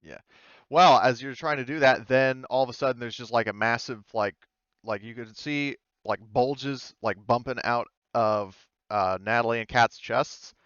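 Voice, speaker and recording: Male game master, smooth mid voice, casual halting narration, dry close recording. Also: medium pitch, fairly bright tone, no echo, muffled recording. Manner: halting, conversational, mild swearing.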